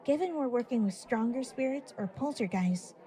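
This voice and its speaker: A woman playing a confident, teasing female pony who briskly leads her friends in a fan-fiction audio drama. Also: fairly high pitch, expressive, warm tone, a faint crowd, a little hiss or hum, close to an everyday voice. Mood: brisk, composed.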